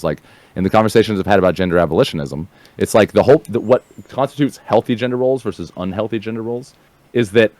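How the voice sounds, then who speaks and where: A man talking casually, fast and passionately, swearing, repeating and restarting words. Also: sits low, darkish tone, dry recording. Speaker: streamer guest. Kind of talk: casual chat.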